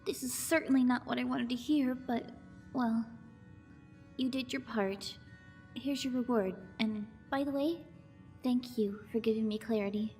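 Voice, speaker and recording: A high-voiced woman settler, played by an actress, speaks with halting, upset delivery. It is video game dialogue for a troubled, grieving quest giver, with faint music behind. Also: fairly even intonation, darkish tone. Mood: shocked, grieving.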